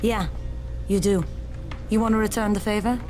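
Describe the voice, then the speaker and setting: An actress as a tough young woman, a protective big sister with a stern, cutting manner. Her voice sits at a middle pitch, in video game cutscene acting over loud music. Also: steady tone, normal speed, lightly acted. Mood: stern, bitter.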